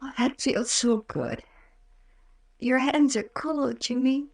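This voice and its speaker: A woman's voice: high voice, takes it slowly, vocal fry, faint music behind, exaggerated voice. A woman playing a fragile, distressed young sweetheart, weary and clinging, in an old-time radio horror drama. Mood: weary, faint. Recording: roomy, distant old radio recording.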